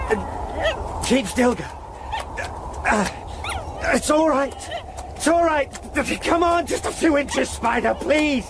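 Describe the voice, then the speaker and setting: A man's voice: very high-pitched, noisy background, heavily acted. An actor playing a frightened young man who urges his dog on in short, pleading phrases in a radio drama.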